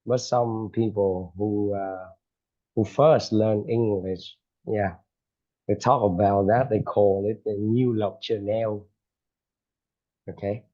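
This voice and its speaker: A man teaching an English lesson, slow and hesitant, with lots of fillers and self-corrections. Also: lowish voice, fairly even intonation, highs cut off.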